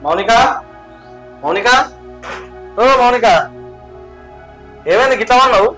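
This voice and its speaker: A man's voice: sits high, animated, normal speed, fairly bright tone, soft background music. A man playing a villager who calls out for a young woman, speaking. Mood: concerned.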